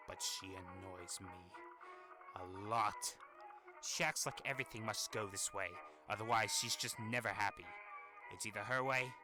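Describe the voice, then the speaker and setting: A man's voice: on the low side, close to an everyday voice. A man voicing a weary, irritable, sardonic demon hotel resident in a fan-made animation monologue, with grumbling, exasperated delivery. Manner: grumbling, exasperated, sighing asides. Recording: voice over old phonograph music.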